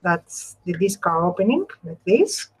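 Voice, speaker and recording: A woman's voice: on the low side, sing-song, a little slow, slightly rough, low-quality recording. A woman with a Greek accent hosting a casual live craft demonstration, repeating and rephrasing herself as she explains and chats. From Greece. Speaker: craft tutorial host.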